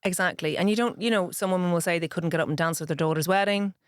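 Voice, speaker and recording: A woman's voice: fairly low pitch, quick pace, smooth, no echo, studio quality. A middle-aged woman with a Northern Irish accent, speaking frankly and in detail as an interview guest. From Ireland. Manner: frank and straight-talking, explains in detail. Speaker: podcast guest, pharmacist. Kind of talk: conversational interview.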